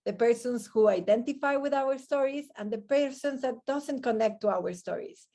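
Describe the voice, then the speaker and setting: A woman's voice: on the high side, moderate pace, warm tone. Woman moderating an online panel. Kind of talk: moderating a live question-and-answer session, partly reading out comments. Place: online video call. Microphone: video call microphone.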